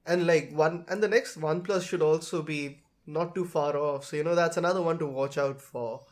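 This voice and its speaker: A man's voice: a little high, steady tone, moderate pace. A man, chatting casually as a tech podcast host.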